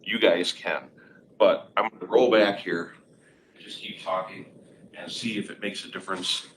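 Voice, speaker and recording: A man hosting a live webinar demo in a conference room, asking guiding questions in a relaxed way. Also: low voice, normal speed. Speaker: webinar host. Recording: room mic with clear background noise.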